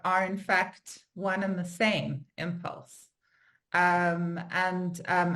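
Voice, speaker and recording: A woman's voice: fairly low pitch, fairly bright tone. A woman hosting an interview, reflecting aloud in a musing way with many fillers and restarts, recorded over a video call. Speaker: interviewer host. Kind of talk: reflective conversation.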